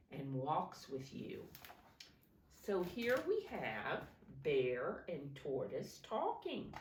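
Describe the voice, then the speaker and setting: Slow, animated woman reading to preschoolers. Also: low-pitched. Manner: explanatory, asking the children questions, simple words. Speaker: preschool teacher reading aloud. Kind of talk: read-aloud storytelling for young children. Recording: echoey room, slightly distant microphone.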